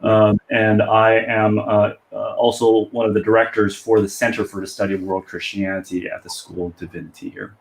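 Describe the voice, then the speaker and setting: A man with an American accent, a university lecturer, talking casually and haltingly as a panelist. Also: on the low side, level tone. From United States. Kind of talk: casual self-introduction. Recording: roomy, echoey sound.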